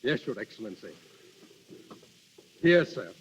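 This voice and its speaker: An actor playing a dutiful, deferential servant in a 1930s radio drama. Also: male voice, mid-range voice, very animated, measured pace, breathy edge, exaggerated voice. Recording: dull 1930s radio recording.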